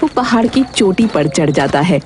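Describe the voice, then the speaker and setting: A woman's voice: medium pitch, animated, normal speed. A woman reading scripted narration for a children's story. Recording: prominent music behind the voice.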